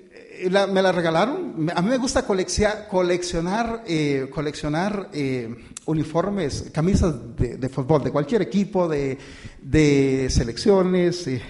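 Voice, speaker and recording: A male pastor giving a relaxed, conversational sermon, hesitating with many fillers and restarts and calling his listeners brothers. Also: on the high side. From born outside the United States. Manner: addresses listeners as brothers and "usted".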